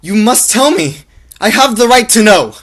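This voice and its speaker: A man's voice: sits high, lively intonation, moderate pace, a bit bright. A man playing an earnest, impassioned young sweetheart in a radio drama. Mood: angry demanding.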